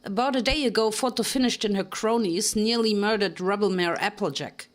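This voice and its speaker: A woman's voice: medium pitch, fairly quick, lightly acted. A jittery mare prison warden, formal and evasive, covering her nerves with polite talk, as a woman reader puts her on. The storybook reading keeps her close to the reader's own voice. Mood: uneasy. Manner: formal and uneasy.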